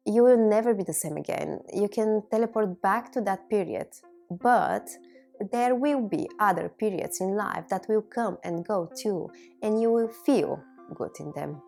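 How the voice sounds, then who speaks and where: A woman in her thirties from Bulgaria, a native Bulgarian speaker, giving a reflective personal monologue to viewers in measured, deliberate phrases. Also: medium pitch, gravelly, darkish tone, soft background music. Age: 30s. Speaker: video blogger.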